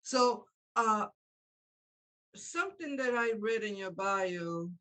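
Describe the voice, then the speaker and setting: A woman's voice: mid-range voice, animated, takes it slowly. Middle-aged woman with Spanish accent. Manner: chatty, warm-hearted affirmations, frequent laughter. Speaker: interview host. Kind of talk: casual interview chat.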